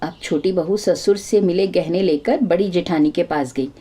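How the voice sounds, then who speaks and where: A woman's voice: lowish voice, moderate pace, warm tone, husky. A woman storyteller narrating a scripted moral folk tale.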